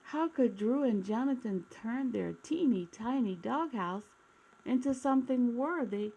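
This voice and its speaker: Slow, creaky-voiced woman reading to children. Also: a little high, low-quality recording. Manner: expressive, addresses children directly with questions. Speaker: children's story reader. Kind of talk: read-aloud storytime for young children.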